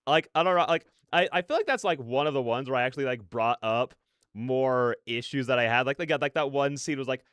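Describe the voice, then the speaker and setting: A man hosting a reaction video, giving casual, excited commentary in a rambling, repetitive way with stammered emphasis. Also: mid-range voice, brisk, clear, resonant tone, dry recording, studio quality.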